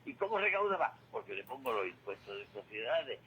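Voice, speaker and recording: Male current-affairs commentator, sharp bright voice, emphatic and didactic, slight background noise. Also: medium pitch, sing-song, brisk, lo-fi sound. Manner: emphatic, didactic, repeats points and asks rhetorical questions. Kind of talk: opinionated conversational radio commentary.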